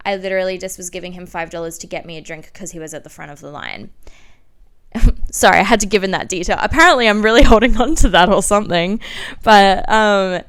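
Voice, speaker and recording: A young woman telling personal stories casually as a podcast host, in a slangy, self-mocking, animated way. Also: medium pitch, brisk, smooth. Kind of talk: casual confessional storytelling.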